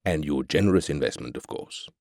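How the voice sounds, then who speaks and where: A man's voice: extremely low pitch, animated, fairly quick, a little mellow, no room sound, clean studio sound. A man playing a ruthless, arrogant corporate tycoon, brash and cynical, in scripted video game lines. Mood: grand, confident. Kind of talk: scripted game character quotes.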